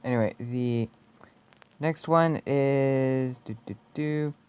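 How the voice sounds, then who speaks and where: A man's voice: medium pitch, slow, a little mellow, smooth. A man co-hosting a show, plainly reading out call details in casual chat. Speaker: podcast co-host.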